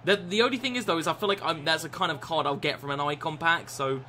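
A young man in his twenties with a croaky voice, chatting and swearing through a live football game stream from a small room. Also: fairly high pitch, fast, a little hiss or hum. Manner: swears often, shouts and laughs at the game. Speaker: gaming streamer. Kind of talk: live gameplay chat.

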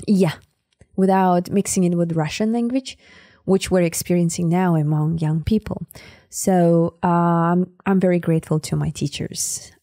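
Woman, Sakha accent, native Sakha speaker, mellow low voice, dry close sound, pauses with fillers. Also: steady tone, no room sound. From Sakha Republic, Siberia. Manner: searching for words with many fillers. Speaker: guest. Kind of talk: conversational interview.

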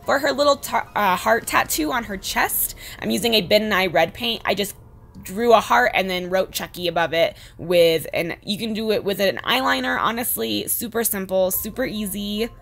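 A woman in her twenties with a slightly hoarse voice, giving a casual, chatty makeup tutorial voice-over. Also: mid-range voice, moderate pace, fairly bright tone. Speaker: beauty vlogger, host. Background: background music.

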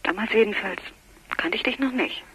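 A woman playing a concerned, candid confidante, familiar and gently questioning, in a German crime radio play. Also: mid-range voice, normal speed, breathy edge, low-quality recording. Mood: worried, probing.

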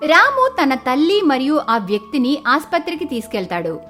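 A woman's voice: sits high, wildly swooping pitch, normal speed, fairly bright tone, soft background music. A woman narrating a scripted moral story as its storyteller.

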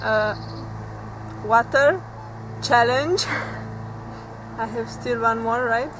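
A young woman from Romania speaks very slowly and playfully, her voice a little high. Clear outdoor noise surrounds her by a brick path. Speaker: volunteer. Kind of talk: casual interview answers.

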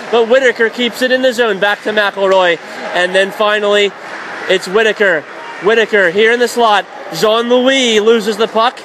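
A man doing radio play-by-play of a college hockey game, calling plays quickly and shouting at the big moments. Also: sits high. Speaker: play-by-play announcer. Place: college ice arena. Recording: noisy background.

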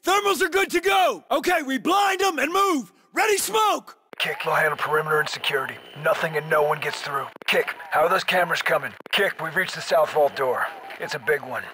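Video game special forces leader, middle-aged, terse and authoritative, clipped tactical delivery with big pitch swings. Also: male voice, very animated, brisk, sharp tone, a little hiss or hum, exaggerated voice. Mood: urgent, shouting, then focused, terse. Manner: terse, clipped military radio-style commands. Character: gritty, commanding special forces soldier, middle-aged man. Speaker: military squad leader. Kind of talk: video game combat voice lines.

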